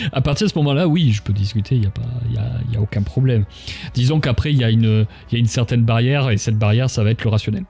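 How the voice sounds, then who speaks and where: A man's voice: medium pitch, lively intonation, brisk, a little mellow, husky, faint music behind. A man hosting a casual livestream interview, speaking in a hesitant, searching way and often repeating phrases. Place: live online stream.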